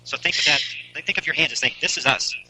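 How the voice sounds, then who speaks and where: A middle-aged father in an earnest, halting family conversation, repeating himself and pleading on a noisy recorded jail call. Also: fairly high pitch, bright, forceful tone.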